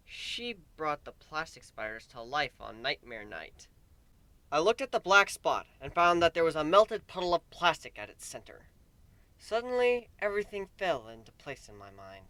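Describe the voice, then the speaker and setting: Bright, animated man reading a story dramatically. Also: on the high side, moderate pace. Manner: theatrical, exasperated delivery, swinging from hushed to shouted. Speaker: story reader.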